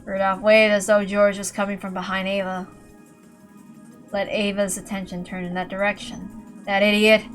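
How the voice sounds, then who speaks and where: A woman's voice: mid-range voice, flat delivery, moderate pace, soft background music. A woman reading scripted fiction aloud as narrator, in a dramatic storytelling style. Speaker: amateur reader and narrator of a visual novel.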